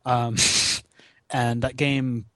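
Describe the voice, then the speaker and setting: A man's voice: medium pitch, level tone, extremely slow, no echo. A man in his twenties in casual, rambling gamer chat, swearing freely with slangy, self-deprecating asides. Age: 20s. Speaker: podcast guest, gaming marathon runner. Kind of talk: casual rambling chat about video games.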